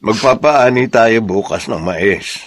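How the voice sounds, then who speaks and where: An actor playing a devoted, humble farmhand in a Filipino radio drama, with a hesitant, understated delivery. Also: male voice, lowish voice, lively intonation, slow, no echo, full character voice. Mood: matter-of-fact. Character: devoted, humble orphaned farmhand, quietly caring friend.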